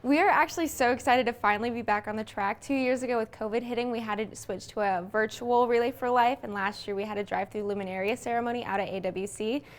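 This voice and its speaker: Female event organizer, higher-pitched voice, answering a local news interview. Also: fairly even intonation, quick pace, few pauses.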